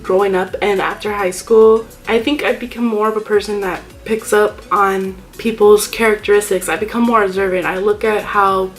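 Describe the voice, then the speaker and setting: A young woman YouTuber chatting casually in a vlog, rambling and thinking aloud about herself. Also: mid-range voice. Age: young adult. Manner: rambling and self-reflective.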